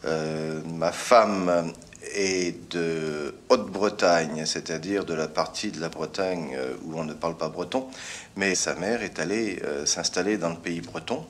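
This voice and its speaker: A man from Brittany answering interview questions hesitantly, pausing often. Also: low-pitched, slight background noise. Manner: hesitant, many pauses and fillers.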